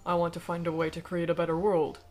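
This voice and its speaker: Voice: medium pitch, completely flat delivery, darkish tone, natural voice. A performer in a fan dub voicing a cold, aristocratic alien noble who speaks formally and eloquently, bitter yet persuasive. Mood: grave, probing. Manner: formal, eloquent, measured speech. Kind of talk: fan-dub voice acting of comic dialogue.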